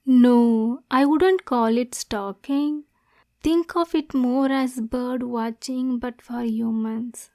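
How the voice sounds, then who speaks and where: A lovesick demon girl, played by a woman, in an intimate ASMR roleplay. Her voice is high and soft, with a mellow tone and little melodic swing. She speaks slowly and breathily, lonely and nervous yet possessive. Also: fairly even intonation.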